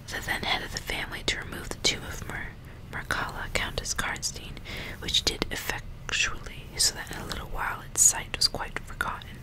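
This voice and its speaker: Woman whispering an old novel for asmr, all breath, soft careful reading. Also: poor audio. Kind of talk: ASMR, whispered book reading with casual asides.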